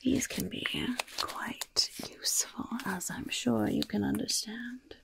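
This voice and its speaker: A mischievous goblin shopkeeper, acted out by a woman, murmurs in a heavily crackling whisper for an ASMR roleplay. The delivery is slow, coaxing and quietly gleeful, close on the microphone, like a sly peddler of cursed wares. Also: a little high, steady tone, heavily acted. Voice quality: breathy, gravelly whisper.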